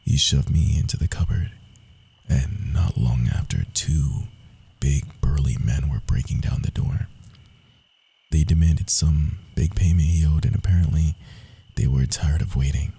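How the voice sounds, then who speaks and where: A man playing a proud, wounded young rogue assassin in an intimate ASMR roleplay, speaking in a hushed, confiding way with dramatic pauses. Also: rumbling low, full character voice. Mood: pained, remembering. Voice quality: husky, breathy, often dropping to a whisper.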